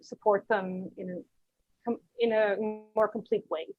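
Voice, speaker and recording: A woman's voice: mid-range voice, steady tone, moderate pace, breathy edge, dry recording, faint music behind. A woman with a Vancouver Canadian accent talks casually as a podcast guest, explaining step by step with plenty of "um" and "like". Her audio sounds a bit dull and lo-fi. It is also very dry, with no room sound. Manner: conversational, explains step by step with fillers. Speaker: guest, English teacher.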